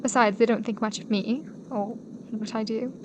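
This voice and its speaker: A woman playing a kind, devoted young gentlewoman in a period audio drama, speaking with earnest, polite formality. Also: a little high, fairly even intonation, normal speed, background noise, natural voice. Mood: gently insistent. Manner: polite, formal, earnest old-fashioned speech.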